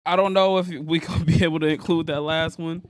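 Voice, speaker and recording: A man's voice: a little high, steady tone, brisk, dry recording, clean studio sound. Laid-back slangy man hosting a podcast. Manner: laid-back slangy banter, laughing often. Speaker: podcast host. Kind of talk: casual group chat.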